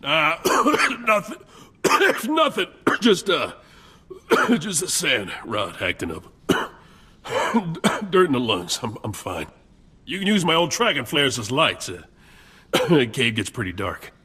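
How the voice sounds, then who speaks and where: A sickly wry chatty guy from a sci-fi game, really swoopy bright voice. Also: a little high, slow pace, slightly rough, full character voice. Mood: strained, dismissive. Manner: wry, animated, joking. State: frequent coughing fits. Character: sickly, wry, chatty male patient, Jedi's eager helper.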